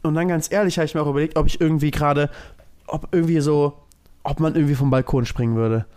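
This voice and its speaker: Fast-talking man with a higher-pitched voice. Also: fairly even intonation, darkish tone, smooth. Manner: rambling, thinking aloud, loosely strung clauses. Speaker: podcast co-host. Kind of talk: casual podcast chat.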